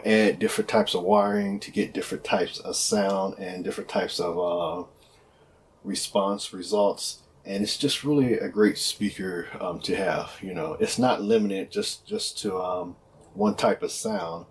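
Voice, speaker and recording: A man with a low-pitched voice presents a product in a casual, off-the-cuff style. He talks conversationally, often restating his points as he explains. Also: a little mellow, slight background noise. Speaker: product presenter for a loudspeaker maker.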